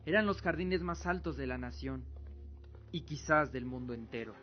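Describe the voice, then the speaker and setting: A man's voice: medium pitch, lively intonation, moderate pace, dull audio, soft background music. A man reading scripted dramatic narration as the storyteller of an audio drama.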